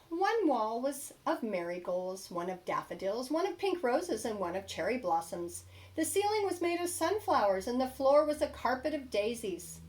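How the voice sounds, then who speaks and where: Animated woman reading a children's story. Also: fairly high pitch, slight background noise, poor audio. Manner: emphatic, dramatic pauses and stresses. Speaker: storybook reader. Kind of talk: expressive children's story read-aloud.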